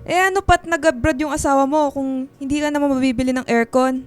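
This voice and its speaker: A woman's voice: high-pitched, fairly even intonation, quick pace, faint music behind, natural voice. A woman playing a nagging, demanding middle-aged mother who whines and complains in a radio drama. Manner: whiny, complaining.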